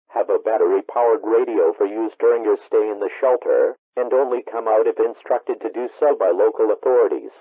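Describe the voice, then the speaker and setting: A man's voice: on the low side, husky, dry recording. A man reading scripted emergency broadcast announcements in a formal, impersonal manner as an alert announcer. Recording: muffled tinny radio broadcast sound.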